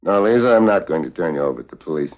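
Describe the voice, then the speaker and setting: An actor playing a tough, wry American secret agent in a 1950s spy drama, clipped, deadpan and sardonic. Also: male voice, extremely low pitch, rapid-fire. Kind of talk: 1950s hard-boiled spy drama acting and narration. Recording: dull 1950s TV film soundtrack.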